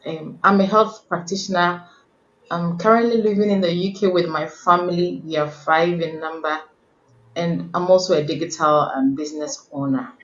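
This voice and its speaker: A woman's voice: on the low side, fairly even intonation, moderate pace, a bit bright, roomy sound, dull audio. A woman from Ghana, a health practitioner, introducing herself politely and without hurry. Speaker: panel guest, health practitioner.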